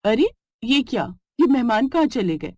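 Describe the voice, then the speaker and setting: Young put-upon daughter-in-law for a cartoon, voiced by a woman, polite and flustered, bright. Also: on the high side, very animated, moderate pace, no room sound, clean studio sound.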